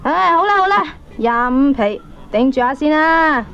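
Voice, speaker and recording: A woman's voice: high voice, expressive, moderate pace, a bit bright, a little hiss or hum. A woman playing a cheeky, shameless young wastrel son in a Hong Kong Cantonese comic radio drama, slangy and wheedling. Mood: pushy, wheedling. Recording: dull 1980s audio cassette recording.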